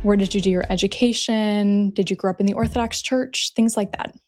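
A woman's voice: mid-range voice, fairly even intonation, a little fast, darkish tone, faint music behind. A woman hosting an interview podcast, upbeat and encouraging with short replies. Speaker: podcast host.

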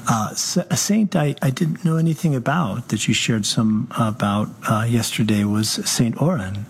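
A man's voice: mid-range voice, moderate pace, creaky, husky. A man, a priest hosting an evening talk in Colorado, speaking in an affable way that prompts the guest, with frequent acknowledgments. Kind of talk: conversational interview.

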